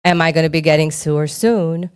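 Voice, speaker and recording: A Cuban-born woman with a fairly low, smooth voice addresses a city workshop as vice mayor. Her delivery is measured, and the sound is dry and close. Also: fairly even intonation, no room sound. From Cuba. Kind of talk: public meeting remarks.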